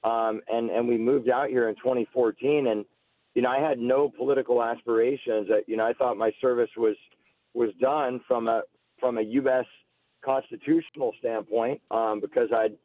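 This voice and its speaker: A man's voice: mid-range voice, fairly even intonation, normal speed, no echo. An American man in his forties talking casually as a radio interview guest, telling stories about himself. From United States, Alabama-born, grew up near Seattle. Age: 40s. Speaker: guest, political candidate. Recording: muffled lo-fi call-in radio line.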